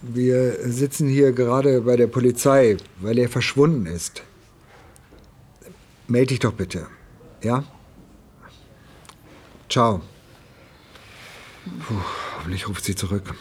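An actor playing a worried, hesitant middle-aged father in a naturalistic radio drama, speaking in a halting, reluctant way. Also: mid-range voice, darkish tone, breathy edge. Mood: awkward, worried. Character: worried, hesitant divorced father of missing teenage son.

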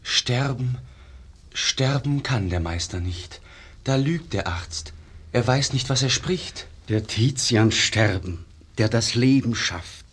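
A passionate young-hearted disciple in an old radio verse drama. He declaims with fervent grief, slow and weighty, in a husky voice. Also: medium pitch, expressive, fairly bright tone, exaggerated voice. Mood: anguished denial. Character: passionate, grieving male disciple of a dying master.

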